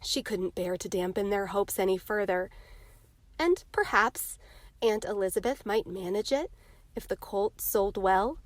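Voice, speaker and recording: A woman's voice: medium pitch, no echo. A woman narrating a storybook and hosting a read-along. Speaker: narrator and reading host.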